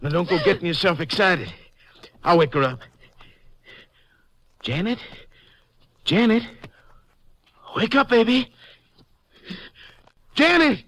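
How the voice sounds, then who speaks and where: An actor playing a sick, frightened rancher husband and father in a 1950s radio drama, speaking in a halting, anxious way. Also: high-pitched, expressive, fairly bright tone, full character voice. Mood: panicked.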